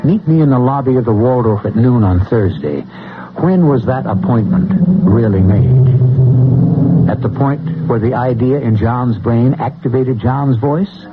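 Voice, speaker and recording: A man's voice: mid-range voice, measured pace, phone-quality sound, noisy background. A man hosting and narrating an old-time radio mystery in a formal, theatrical, philosophical and wry manner. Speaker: host narrator. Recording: old radio broadcast, loud music behind.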